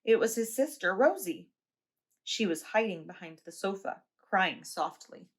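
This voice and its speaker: A preschool teacher reading a children's picture book aloud, clear, slow and expressive. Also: female voice, medium pitch.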